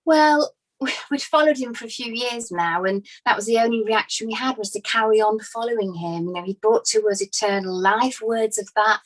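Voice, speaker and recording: A woman's voice: a little high, moderate pace, close to an everyday voice, poor audio. A woman playing a devoted, grieving female follower giving hesitant, conversational testimony in a dramatized inquest. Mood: earnest, devoted.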